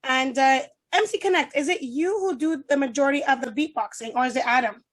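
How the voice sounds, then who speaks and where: A woman's voice: sits high, fairly quick. A woman hosting an online interview over a video call, enthusiastic and effusive. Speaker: host.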